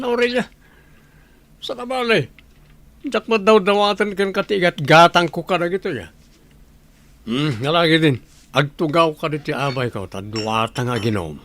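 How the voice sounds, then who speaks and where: A man's voice: mid-range voice, a bit bright. A man playing a cocky, hard-drinking husband in a radio drama, boastful and teasing. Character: cocky, hard-drinking, carefree husband who brushes off scolding. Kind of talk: radio drama acting in Ilocano.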